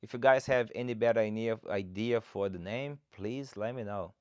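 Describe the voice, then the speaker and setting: A man's voice: fairly low pitch, moderate pace, darkish tone, no room sound, studio quality. A man from Brazil with a Brazilian Portuguese accent, a coach hosting his own podcast and speaking to listeners in an earnest, encouraging, motivational way. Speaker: fat loss coach, podcast host. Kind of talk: solo podcast monologue.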